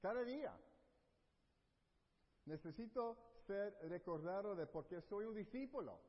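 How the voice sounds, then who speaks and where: Man from Texas, middle-aged preacher, unhurried, dull lo-fi recording with a long echo. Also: high-pitched, animated. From Texas, USA. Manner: mixes English fillers into Spanish, warm and earnest. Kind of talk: sermon. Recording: dull lo-fi audio with long hall reverb.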